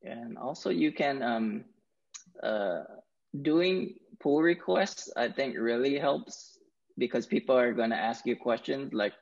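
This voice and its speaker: A man in his thirties talks casually and haltingly, filling pauses with ums. His voice is husky with a gravelly fry. The sound is dull and lo-fi, as heard over a video call. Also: medium pitch. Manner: hesitant, many ums. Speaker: meetup organizer. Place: living room at home. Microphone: earbuds on video call.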